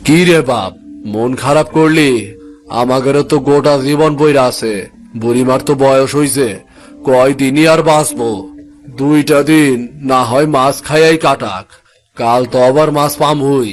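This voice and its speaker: A man's voice: mid-range voice, steady tone, moderate pace, soft background music, lightly acted. A man voicing a tired, kindly poor fisherman father with a rural Bangladeshi accent in a cartoon. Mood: gentle. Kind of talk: cartoon voice acting.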